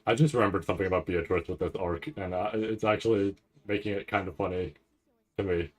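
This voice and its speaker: A man giving casual live reaction commentary, nervous and rambling, halting and repeating his words as he goes. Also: low-pitched, steady tone. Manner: anxious, nervous anticipation. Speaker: anime commentator reacting to a livestream event.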